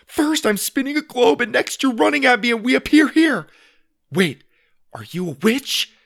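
Man acting young boy, storybook character, high-pitched, excitable, mischievous, exclaiming, swooping intonation. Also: moderate pace, creaky, heavily acted. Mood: panicked.

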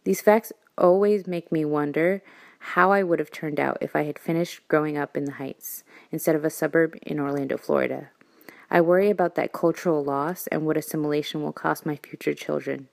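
A woman's voice: low-pitched, fairly even intonation, brisk, gravelly. A young woman from New York, a native Spanish speaker, earnestly reading her listener letter aloud. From New York, USA.

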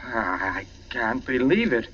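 A man's voice: medium pitch, sing-song, slow pace, bright, forceful tone, slightly rough, recorded from a distance, exaggerated voice. An actor playing an anxious, bewildered young man in 1940s radio suspense, earnest and stammering. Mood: shocked, pleading. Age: young adult. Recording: muffled vintage 1940s radio recording.

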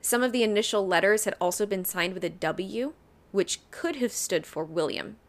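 A woman's voice: medium pitch, normal speed. A woman co-hosting a podcast, telling a true crime case in a chatty way and laughing often. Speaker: podcast co-host. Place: Ohio.

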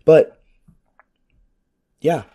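A hoarse man who is losing his voice, hosting a casual solo podcast with a sarcastic, rambling manner and free swearing. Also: very slow, dark tone. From United States. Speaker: podcast host.

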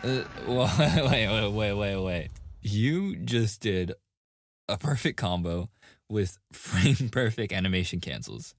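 A man's voice: lowish voice, lively intonation, takes it slowly, dry recording, studio quality, exaggerated voice. A man playing a playful, easily flustered young gamer boyfriend in a casual, intimate ASMR roleplay. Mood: shocked, exasperated. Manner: casual, intimate, with chuckles and sighs.

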